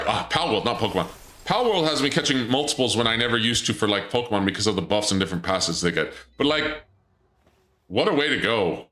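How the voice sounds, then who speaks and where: A man's voice: medium pitch, sharp tone, reverberant. A man streaming a game, chatting casually and joking while he reads chat aloud. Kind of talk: casual gameplay commentary.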